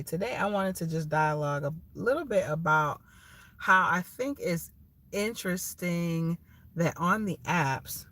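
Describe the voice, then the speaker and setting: A woman's voice: low voice, a bit bright. A woman in her thirties, a little nasal from a cold, talking casually in a live vlog with many ums and restarts while she waits at a food place. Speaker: lifestyle vlogger.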